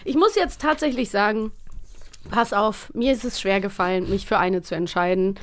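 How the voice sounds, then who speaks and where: A woman's voice: mid-range voice, normal speed. A young woman chatting informally and enthusiastically as a podcast co-host. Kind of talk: casual podcast chat about children's audio dramas.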